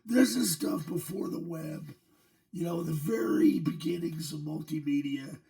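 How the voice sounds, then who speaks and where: A middle-aged man with a hoarse, gravelly voice from an illness, hosting a casual, joking demo of old computer software. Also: fairly high pitch, measured pace. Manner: joking, chuckling between remarks. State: hoarse, losing his voice from a virus. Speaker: channel host.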